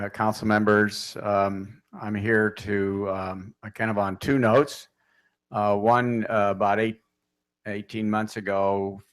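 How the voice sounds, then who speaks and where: Flat-voiced man giving a hesitant staff report. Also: lowish voice. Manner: hesitant, many fillers. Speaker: city public works staff. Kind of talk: staff report at council meeting.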